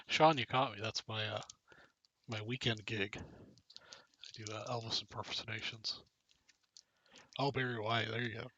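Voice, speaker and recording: A man's voice: fairly low pitch, steady tone, measured pace, pauses often, pushed and bright, slightly rough. A man hosting a live card break stream, chatting casually and joking with viewers while reading out cards and names. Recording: dull lo-fi stream audio.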